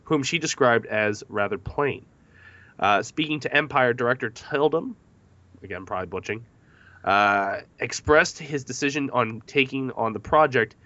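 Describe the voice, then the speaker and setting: A man's voice: medium pitch, a bit bright, dry recording, lo-fi sound. A man hosting a podcast movie discussion, reading out facts from notes between questions to the panel. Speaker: podcast host.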